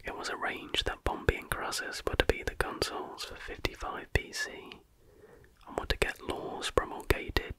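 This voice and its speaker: Hushed, fully whispered ASMR reader. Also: extremely high pitch, low-quality recording. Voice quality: unvoiced breathy whisper. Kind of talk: whispered ASMR reading of a history text.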